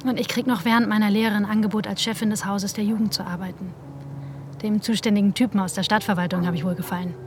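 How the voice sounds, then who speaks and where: A woman's voice: medium pitch, fairly even intonation, fast talker, over music, close to an everyday voice. An actress playing an ambitious woman manager in a radio drama, telling of lost love in a wry, colloquial way. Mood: wistful, wry. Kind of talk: radio drama first-person narration and dialogue.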